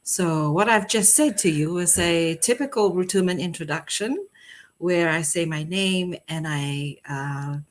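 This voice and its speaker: A middle-aged woman raised in Fiji speaks as an interview guest, her voice sitting a little low. She talks at a relaxed, reflective pace, breaking into easy laughter often. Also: a little hiss or hum. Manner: warm, laughs often, thoughtful pauses. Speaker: poet, podcast guest. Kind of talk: conversational interview.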